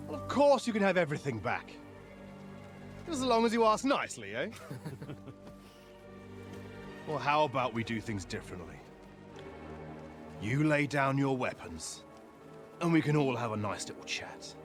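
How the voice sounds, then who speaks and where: An actor playing a menacing, mocking bandit chief in a medieval video game, drawling out taunts and threats. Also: male voice, medium pitch, big pitch swings, normal speed, pauses often, faint music behind, close to an everyday voice. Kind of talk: dramatic video game acting.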